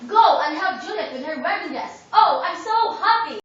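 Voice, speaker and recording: A woman playing an excited, bossy father of the bride who barks eager orders, in an amateur stage reading. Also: very high-pitched, moderate pace, slight background noise. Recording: echoey room, mic far off, dull sound.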